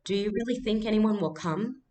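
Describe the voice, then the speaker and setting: A woman's voice: mid-range voice, normal speed, darkish tone, voice pushed up, lightly acted. A woman voicing a nervous, earnest young girl with a dramatic streak in a storybook read-aloud. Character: nervous young girl, theatrical, wistful best friend.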